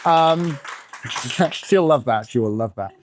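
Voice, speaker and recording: Croaky-voiced man chatting in an interview. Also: a little high, animated, slow, a faint crowd, slight background noise. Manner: chatty, with many fillers and hedges. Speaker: podcast co-host and author being interviewed. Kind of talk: conversational interview answers. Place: bookshop event, in front of an audience.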